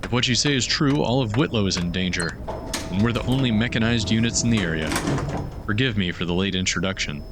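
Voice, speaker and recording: Man playing a low-voiced commander, noisy background. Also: a little fast, natural voice. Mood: grave, courteous. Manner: formal, commanding. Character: gallant, resolute military commander of desert mech legion. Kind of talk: dramatized fantasy audio story. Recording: loud mechanical rumble behind.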